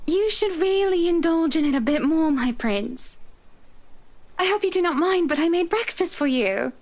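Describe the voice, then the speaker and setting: A woman's voice: extremely high pitch, animated, moderate pace. A woman playing a sweet, formal, eerily devoted wife in a visual novel game. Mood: doting, eager. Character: doting, eerily eager wife serving breakfast to her husband.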